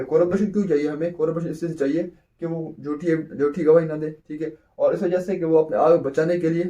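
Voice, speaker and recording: A man's voice: a little high, mellow, recorded from a distance, highs cut off. A man teaching a law lecture, who repeats points and answers his own questions. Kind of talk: explanatory lecture, mixing in English terms.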